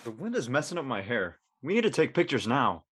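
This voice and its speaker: A man playing a vain, bossy teenage high school boy in an audio play, boastful and impatient. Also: very animated, brisk, fairly bright tone, breathy edge, dry recording, studio quality. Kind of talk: conversational acting in an online audio play.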